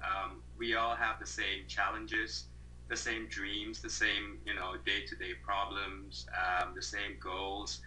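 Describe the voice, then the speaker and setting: A man's voice: on the low side, flat delivery, takes it slowly, pushed and bright, slight background noise, low-quality recording. A man in his thirties from Malaysia, a guest on an interview over a video call, talking thoughtfully with many fillers and restarts.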